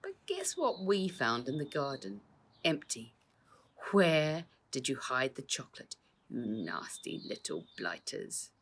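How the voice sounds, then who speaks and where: A woman's voice: extremely low pitch, huge pitch swings, slow, heavily acted. A woman reading a storybook, voicing a sneering, aggressive crooked policeman who snarls menacingly. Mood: sneering menace.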